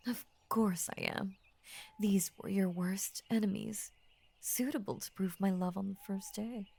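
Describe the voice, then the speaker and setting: Woman doing a creaky low-voiced yandere lamia, vain n obsessively devoted, soft near-whispers, close dry roleplay audio. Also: steady tone, no echo. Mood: menacingly devoted. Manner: soft, breathy near-whispers, halting, grandiose formal phrasing. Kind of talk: intimate roleplay audio acting.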